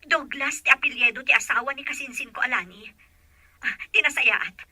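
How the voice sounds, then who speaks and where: A woman's voice: high voice, normal speed, bright, forceful tone, dry recording, heavily acted. A woman with a Filipino accent acting a caring, determined mother in an audio drama.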